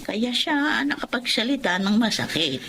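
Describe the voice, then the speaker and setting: Gentle elderly mother. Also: a little high, sing-song, moderate pace, slight background noise. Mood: tender concern. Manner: soothing, earnest. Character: gentle, understanding elderly grandmother counseling her grown son. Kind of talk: Tagalog radio drama acting.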